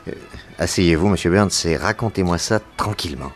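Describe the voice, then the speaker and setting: Casting: man playing a deep-voiced private detective, composed, probing, deliberate, for a radio mystery play with light music behind. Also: lightly acted. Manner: measured, deliberate questioning. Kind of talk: French radio detective drama acting.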